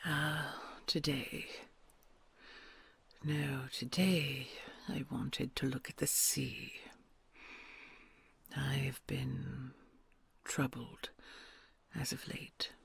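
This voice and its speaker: A woman voicing a kind, gentle female red dragon queen in an ASMR audio roleplay, talking in a soft, soothing, mostly whispered way. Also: a bass voice, little pitch movement, very slow, gravelly, pauses often, slightly rough, dry recording, heavily acted. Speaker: voice actor.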